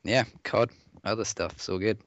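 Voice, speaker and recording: A man's voice: lowish voice, fairly even intonation, moderate pace, dry recording, muffled recording. A seventeen-year-old boy from England, hesitant and giggly, chatting casually in a gaming commentary. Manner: hesitant, giggly, full of ums. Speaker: clan member, guest. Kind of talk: casual chat.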